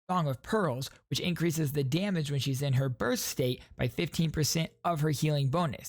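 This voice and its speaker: A man's voice: on the high side, no echo, studio quality. A man hosting a gaming video, giving informal explanatory commentary straight to his viewers. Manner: informal, addresses viewers as guys.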